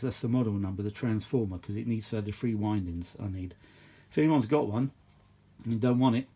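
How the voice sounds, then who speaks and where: A man's voice: mid-range voice, dark tone. A man giving casual, rambling hands-on commentary as a repair hobbyist, matey and full of tag questions. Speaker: vintage audio repair hobbyist and video host. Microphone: phone held or propped at the workbench.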